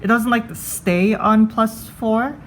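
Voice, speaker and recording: A woman's voice: on the high side, slow pace, slight background noise. A woman giving a casual hands-on product review, thinking aloud in a conversational way.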